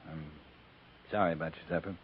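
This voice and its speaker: An actor playing a laconic, awkward cavalry captain of the Old West, with an American accent and a halting, understated delivery. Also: male voice, sits low, steady tone, husky. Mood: awkward, hesitant. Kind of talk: 1950s radio drama acting. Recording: tinny, hissy 1950s radio recording.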